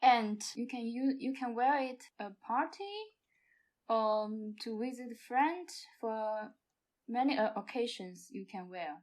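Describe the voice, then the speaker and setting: A woman improvising as a podcast guest, with halting, non-native phrasing. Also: fairly high pitch, darkish tone. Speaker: podcast guest, amateur theatre performer. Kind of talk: improvised role-play and mock product pitching.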